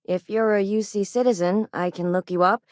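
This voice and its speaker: A woman's voice: medium pitch, fairly even intonation, a little fast, no echo, clean studio sound, lightly acted. A woman playing a firm, caring frontier doctor in a space game, plain-spoken and casual in her delivery. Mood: businesslike. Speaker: video game character. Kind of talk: acted video game dialogue.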